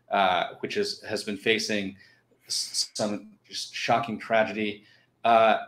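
A middle-aged American man giving an informal welcome as co-host of a livestream, speaking haltingly with pauses mid-sentence. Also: lowish voice, fairly bright tone. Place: indoor room at home, on a video call. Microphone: headset. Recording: roomy, echoing home recording.

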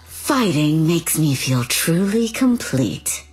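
A cold, seductive female assassin from a video game, haughty and menacing. Her low voice moves at a very slow, deliberate pace, with music behind it. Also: sing-song, a little mellow, slightly rough, full character voice. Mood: taunting, seductive. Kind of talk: video game character voice lines.

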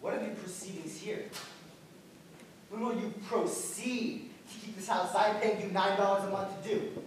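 A woman's voice: medium pitch, fairly even intonation, normal speed, natural voice. A woman playing a stern, commanding farmer and husband, using old-fashioned speech. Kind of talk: stage play performance. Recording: echoey, far-off stage audio with background noise.

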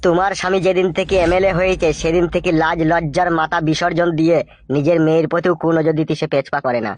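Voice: mid-range voice, little pitch movement, quick pace, natural voice. A performer voicing an aggrieved, loyal young man in a cartoon comedy, earnest and dramatic. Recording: faint music behind the voice.

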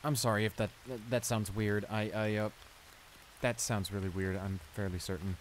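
A man's voice: fairly low pitch, normal speed, natural voice. A man playing a shy, flustered school bully in an audio roleplay, stammering and hesitant as he apologizes and gets embarrassed. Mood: sheepish, apologetic. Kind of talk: intimate improvised audio roleplay acting. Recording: rain noise behind the voice. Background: steady rain.

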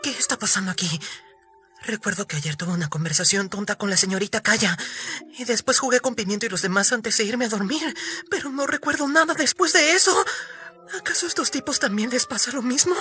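A woman's voice: a little high, fairly quick, sharp tone, soft background music. A woman voicing a boastful but cowardly young male pirate in an anime fandub, theatrical and easily panicked.

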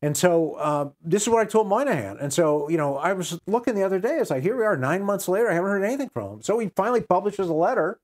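A man's voice: high-pitched, lively intonation, quick pace, few pauses. An American man in his sixties giving conversational political and religious commentary as a guest, repeating key phrases and asking rhetorical questions. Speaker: interview guest. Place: small book-lined room indoors. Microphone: lapel mic.

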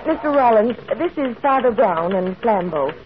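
A courteous, helpful office woman played by an actress in an old radio mystery. Her voice sits high and comes through a tinny, narrow broadcast recording. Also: animated, moderate pace, slight background noise, natural voice. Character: polite, helpful female drafting office employee. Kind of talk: old radio drama acting.

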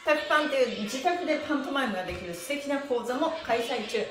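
A middle-aged woman with a high voice guides a stretching class. She is polite and bubbly with a sing-song lilt. The room is echoey, with music behind her. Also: lively intonation, fast. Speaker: stretching instructor and livestream host. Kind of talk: cheerful exercise lesson, chatting and counting chants. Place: small indoor studio room, sitting on a mat.

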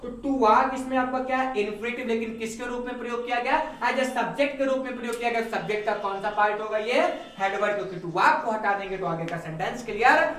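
A man's voice: very high voice, brisk, hardly any pauses, a bit bright, a little hiss or hum. A young man teaching English grammar at a whiteboard, asking rhetorical questions and answering them himself, recorded from a distance in a room. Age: young adult. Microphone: camera at a distance. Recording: echoey room, voice off-mic.

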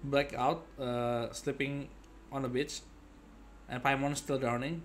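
A man's voice: mid-range voice, flat delivery, takes it slowly, slight background noise. A young man giving casual, playful reaction commentary as a game streamer, with hesitant asides. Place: indoor room at desk, webcam stream. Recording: game music faintly behind.

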